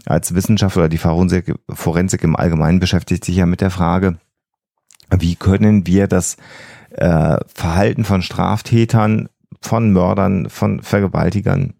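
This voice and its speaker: A man's voice: sits low, fairly even intonation, moderate pace, gravelly, mellow, no room sound, clean studio sound. A man co-hosting a podcast, explaining ideas in a conversational way. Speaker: podcast co-host.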